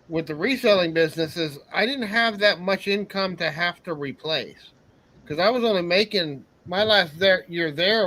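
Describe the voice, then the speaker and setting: A man's voice: a little high, sharp tone, rough recording. A middle-aged man co-hosting a casual live panel, telling long earnest stories. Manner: earnest, rambling storyteller. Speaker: co-host.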